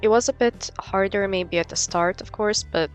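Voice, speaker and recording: A woman's voice: medium pitch, moderate pace, faint music behind. A young woman narrating a casual vlog in an informal, sweary way. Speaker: vlogger, artist.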